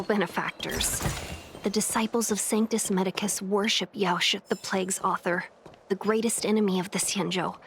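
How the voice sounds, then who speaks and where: An actress voicing a poised, knowledgeable guide in a video game, formal, composed and courteous. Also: female voice, medium pitch, noisy background, close to an everyday voice. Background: prominent music.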